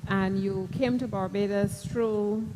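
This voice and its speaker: A woman's voice: mid-range voice, steady tone, takes it slowly. A woman hosting an interview program, welcoming a guest in a slightly formal manner. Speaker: program host. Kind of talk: broadcast interview. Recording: echoey hall with background noise.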